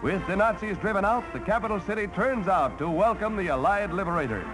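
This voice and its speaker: A man's voice: high-pitched, fairly quick, rough recording. An American man giving punchy, theatrical 1940s newsreel commentary on a vintage soundtrack. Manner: punchy, colourful, theatrical announcing. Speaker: newsreel narrator. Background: loud music.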